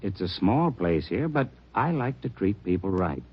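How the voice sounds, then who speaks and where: A folksy small-hotel keeper on an old radio crime drama, heard on a dull lo-fi recording. His husky voice swings up and down as he protests, hesitant, nervous and defensive. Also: low voice, very animated, normal speed, exaggerated voice. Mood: uneasy, hesitant.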